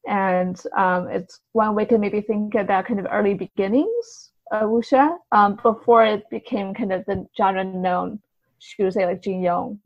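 A woman's voice: mid-range voice, fairly even intonation, unhurried. A woman answering conversationally as an interview guest, explaining things in a tentative, hedging way. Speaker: podcast guest, writer and translator. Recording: slightly dull, lo-fi sound.

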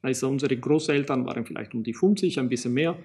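Italian-accented man giving a halting talk. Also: mid-range voice, darkish tone, husky. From Italy. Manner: halting, many fillers and restarts. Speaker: archaeologist lecturer. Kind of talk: recorded public lecture. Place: small studio in front of a banner.

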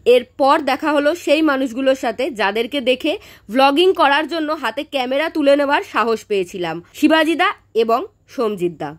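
Excited woman vlogging. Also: high voice, fairly quick. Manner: excited and chatty. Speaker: vlogger host. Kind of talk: casual vlog narration. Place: home room, then busy indoor cafe. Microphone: handheld camera at arm's length.

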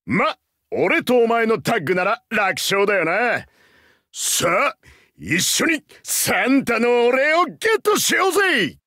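A man's voice: very high voice, very animated, unhurried, sharp tone, no echo, studio quality, full character voice. A man voicing a cheerful, hot-blooded masked wrestler hero in a mobile game, with brash, slangy delivery. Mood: upbeat, eager. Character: boisterous, cheerful tiger-masked wrestler hero in Santa outfit. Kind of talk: anime game character voice acting.

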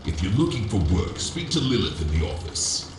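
An actor playing a greedy, smug dark master in a fantasy game, with measured, theatrical delivery. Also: male voice, on the low side, sing-song. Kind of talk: theatrical video game dialogue. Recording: echoey game audio with noise.

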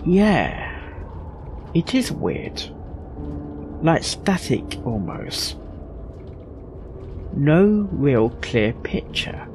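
Skeptical male railway crewman, man acting, wry, lively higher voice, casual audio-drama delivery. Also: extremely slow, pauses often, exaggerated voice. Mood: puzzled. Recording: faint background noise.

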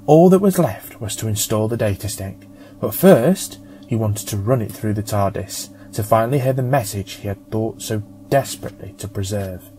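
A man narrating a scripted story as an audiobook reader, with a measured storytelling manner. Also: fairly low pitch, expressive, warm tone, a little hiss or hum, low-quality recording.

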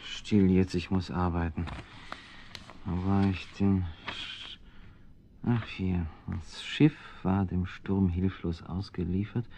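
A man's voice: low voice, slow pace, a little hiss or hum. An actor playing a middle-aged, kindly but flustered male writer in an old radio play, bumbling and talking to himself. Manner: bumbling, talks to himself, lively. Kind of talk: 1970s radio play acting.

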